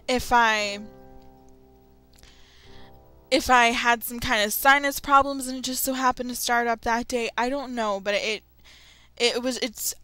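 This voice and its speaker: Bright, high-voiced young woman speaking candidly. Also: smooth. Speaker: video creator. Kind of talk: candid confessional vlog talk. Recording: music behind the voice. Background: background music.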